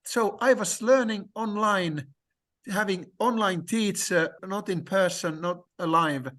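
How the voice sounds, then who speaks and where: A man whose first language is Finnish, talking slowly and hesitantly in a discussion, with many fillers and restarts. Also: high-pitched, fairly bright tone. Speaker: language learner sharing experience. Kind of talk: conversational discussion.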